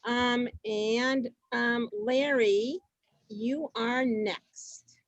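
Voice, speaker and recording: A woman moderates an informal event in a fairly high voice at a slow pace. She is halting and casual as she hands over to each speaker, with a close, dry sound. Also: fairly even intonation, no echo, highs cut off. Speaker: host introducing each reader.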